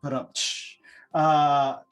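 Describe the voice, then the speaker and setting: A man's voice: fairly high pitch, little pitch movement, very slow, smooth, dull audio, faint music behind. A man leading an orientation discussion, enthusiastic and encouraging, often repeating himself. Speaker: orientation facilitator. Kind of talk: casual group discussion.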